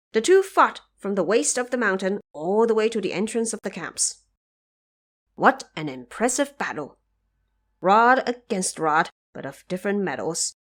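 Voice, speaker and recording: A woman narrating an audio drama in an expressive, theatrical storytelling style. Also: medium pitch.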